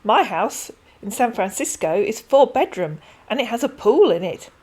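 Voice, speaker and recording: Brief: a wheedling, stilted con man who turns bullying, played by a woman reader; high, swooping and overdone. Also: expressive, normal speed, a little mellow, slightly rough. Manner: stilted phrasing, syrupy darlings, sudden pushy demands. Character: pushy male online romance scammer, wheedling then bullying. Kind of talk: read-aloud storytelling.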